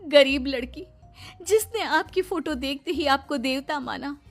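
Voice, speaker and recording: A woman's voice: sits high, measured pace, over music, voice pushed up. A woman voicing a scheming elder daughter-in-law in a cartoon, talking in a sugary, coaxing way. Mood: dramatic. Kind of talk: cartoon voice acting.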